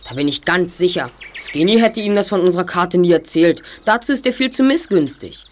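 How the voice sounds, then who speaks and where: A well-spoken young sleuth leading his gang in a radio play, acted by a woman. The voice is slightly low, formal and assured, over faint background noise. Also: lively intonation, fairly quick, natural voice. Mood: deducing. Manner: articulate, formal, self-assured. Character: confident, well-spoken boy detective, leader of a trio. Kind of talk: German audio drama acting.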